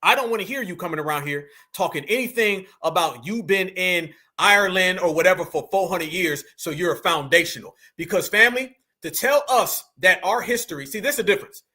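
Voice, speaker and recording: Emphatic high-voiced man commenting on clips. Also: animated, fairly quick, few pauses, sharp tone. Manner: emphatic, addresses viewers as family. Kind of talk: casual reaction commentary.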